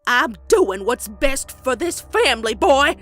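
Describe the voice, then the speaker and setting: Old Southern farm grandmother, bitter, folksy, unhurried, music underneath, comic dub drama. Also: sits high, very animated, fairly bright tone, exaggerated voice. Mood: furious, scolding. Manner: folksy, slow, deliberate storytelling. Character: stern, bitter old farm grandmother pony with dark secret.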